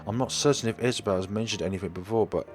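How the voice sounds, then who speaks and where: A man's voice: on the low side, racing, close to an everyday voice. Guy doing a friendly businesslike realtor lady in a visual novel, apologetic, bit hesitant, music behind. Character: busy, apologetic professional woman realtor, friendly. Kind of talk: visual novel dialogue read aloud.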